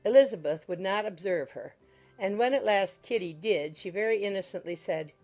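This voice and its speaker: A woman narrating a classic novel in a measured, literary storytelling manner. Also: medium pitch, a little mellow. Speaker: audiobook narrator. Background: background music.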